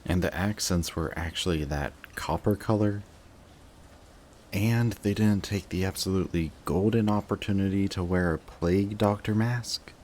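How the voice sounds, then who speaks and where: A man's voice: sits low, normal speed, a little mellow, a little hiss or hum, close to an everyday voice. A man playing a sarcastic, jaded supervillain in a scripted roleplay audio drama, with a dry, taunting way of talking to an old rival. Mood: mocking, animated.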